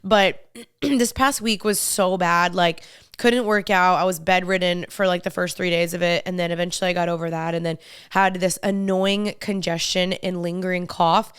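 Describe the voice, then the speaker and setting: A woman's voice: lowish voice, steady tone, normal speed, a bit bright, clean tone, no echo, studio quality. A young woman hosting a podcast in casual solo chat, upbeat and talking straight to listeners, a little congested and nasal from a cold. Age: young adult. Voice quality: slightly nasal. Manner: chatty and upbeat, addresses listeners as "you guys". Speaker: podcast host.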